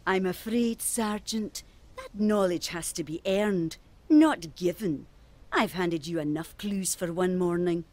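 An actress playing a haughty, cryptic island woman in an audio drama, measured, formal and coolly condescending. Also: lowish voice, expressive, darkish tone, dry recording.

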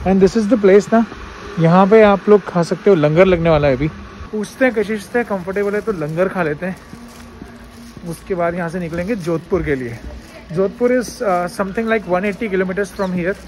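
A man's voice: high-pitched, a little mellow, a little hiss or hum, rough recording. A man chatting casually as a travel vlogger, explaining things to viewers in mixed Hindi and English. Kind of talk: casual travel vlog chat.

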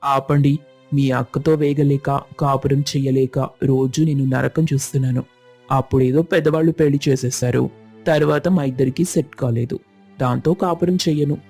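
A man voicing a heartless, harsh husband in an animated moral story, curt and commanding. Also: medium pitch, moderate pace, faint music behind, close to an everyday voice. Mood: angry, cold. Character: harsh, heartless husband rejecting his wife.

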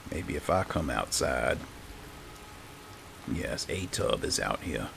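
A man's voice: very deep voice, lively intonation, takes it slowly, vocal fry, background noise. A man giving casual roleplay commentary as a gaming video host, close on the microphone.

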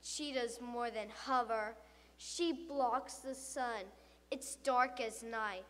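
A woman's voice: a little high, slow pace. A woman playing a dramatic, frightened school pupil telling a tall tale in rhyming verse. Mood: panicked, doomed. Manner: dramatic, expressive storytelling. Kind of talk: rhyming comic monologue, theatrically recited. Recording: reverberant hall.

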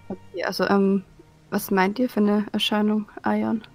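A woman's voice: mid-range voice, steady tone, slow, a little mellow, soft background music. A woman playing a tabletop role-playing game, casually narrating her character.

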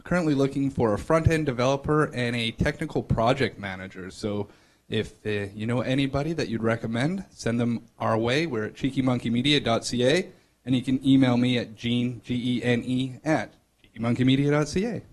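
A man in the audience casually announces job openings, hesitating a little. His voice sits at a medium pitch. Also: a little fast. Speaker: audience member, recruiter.

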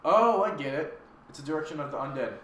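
A man with a fairly high, bright voice trades casual comic banter. He speaks haltingly, sounding incredulous. The recording is echoey with faint noise. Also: lively intonation, dull audio. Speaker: guest co-commentator. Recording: roomy echo with faint noise.

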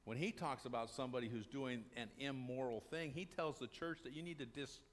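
A man's voice: medium pitch, fairly quick. An older man preaching a sermon in a small church, talking to the congregation in a conversational way and answering his own questions. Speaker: pastor. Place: small church sanctuary, indoors.

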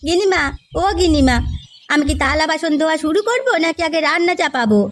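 Very high, quick, earnest maid acted by a woman. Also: faint music behind, lightly acted. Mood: devoted. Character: loyal, practical young maidservant, caring and encouraging. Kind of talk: cartoon fairy-tale dialogue.

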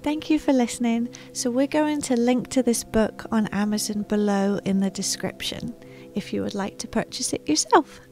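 A woman in her thirties reading a picture book aloud as a storytime host, with expressive narration, close to the microphone. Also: on the high side, moderate pace, faint music behind. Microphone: close microphone on a boom arm.

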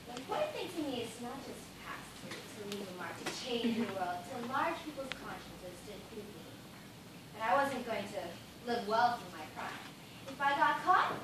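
A woman's voice: high voice, sing-song, normal speed, distant mic. Young actress as intense fame-obsessed woman, very echoey stage. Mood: earnest, confessional. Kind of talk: amateur stage play acting. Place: theatre stage indoors. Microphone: distant audience camera. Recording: echoey stage from afar, noisy.

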